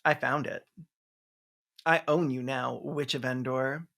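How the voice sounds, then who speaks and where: Snarky, campy movie reviewer, mid-pitched. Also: steady tone, slow pace, creaky, a bit bright. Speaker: video essayist, film reviewer. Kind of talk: comedic movie commentary.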